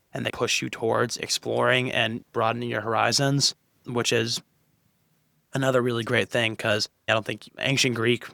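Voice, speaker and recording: A man's voice: mid-range voice, creaky, pushed and bright, dry recording, studio quality. A young man hosting a casual interview podcast, asking long, loose, rambling questions. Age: young adult. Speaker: podcast host.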